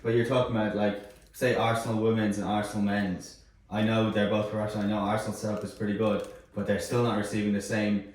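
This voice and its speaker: Low-voiced man in a very echoey room. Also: flat delivery, fast talker, a little mellow. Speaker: panelist. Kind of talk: casual discussion. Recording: very echoey room, distant mic.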